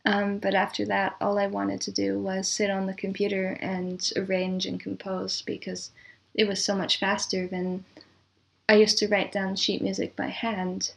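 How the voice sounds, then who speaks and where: A woman answering as a composer guest in a casual, chatty interview, quick to laugh. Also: mid-range voice, completely flat delivery, gravelly.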